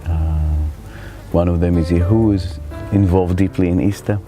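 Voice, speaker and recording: A middle-aged man from Israel with an Israeli accent, telling his life story in a reflective, halting way as he searches for words. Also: sits low, fairly even intonation, mellow, soft background music. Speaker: spiritual teacher. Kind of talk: personal life story told to camera.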